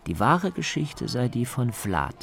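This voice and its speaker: A man's voice: medium pitch, sing-song, measured pace, vocal fry, fairly bright tone. A man reading scripted narration as the reporter of a radio documentary.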